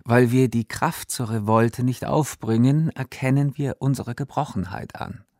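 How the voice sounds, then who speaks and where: A man's voice: medium pitch, unhurried, mellow, dry recording, studio quality. A man reading diary quotations as a scripted literary reading.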